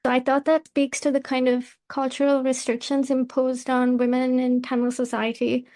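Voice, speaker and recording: Woman interviewer for a podcast: high voice, little inflection, measured and polite. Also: monotone, warm tone. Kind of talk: prepared interview questions.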